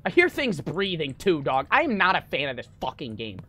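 A young man streaming a horror game, panicking, swearing and yelling in fright while reading notes aloud. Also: a little high, huge pitch swings, brisk, slight background noise. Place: small room at home. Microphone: desk mic on stand.

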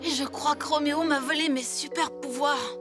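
A woman's voice: high-pitched, steady tone, moderate pace, music in the background, close to an everyday voice. A woman voicing an earnest, brave young boy superhero in a French cartoon dub. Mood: dejected.

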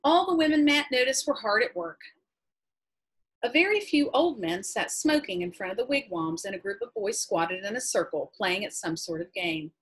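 Female teacher, 40s, American, slightly husky mid-pitched voice, expressive classroom read-aloud with explanatory asides. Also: moderate pace. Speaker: high school English teacher reading to class. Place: small room at home, indoors.

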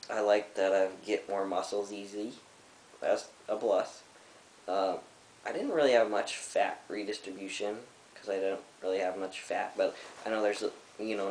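A young man with a very low voice talks casually to a camera from his couch. He speaks haltingly, with many fillers and restarts. The room sounds echoey, and a faint hiss sits under the voice. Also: steady tone. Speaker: vlogger. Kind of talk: casual personal vlog to camera. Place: living room, seated on a couch. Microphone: camera in front of him.

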